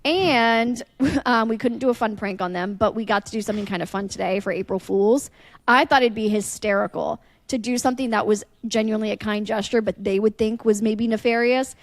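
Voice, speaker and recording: A woman's voice: mid-range voice, brisk, dry recording. A woman chatting casually as a podcast host, telling a story and acting out what people said.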